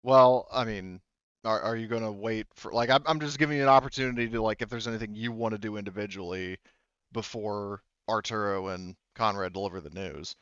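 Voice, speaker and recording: A man with a clean, smooth voice on the low side guides a tabletop roleplaying session. He feels his way through the narration hesitantly and casually. The sound is dry and a little dull. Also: fairly bright tone, no room sound. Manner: halting, hesitant delivery. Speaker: game master. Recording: slightly dull, dry close recording.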